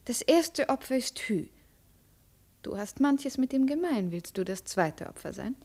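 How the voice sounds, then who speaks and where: High-voiced, imperious young princess. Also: female voice, big pitch swings, measured pace, darkish tone, exaggerated voice. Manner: commanding, crisp, haughty. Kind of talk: 1950s German radio play acting.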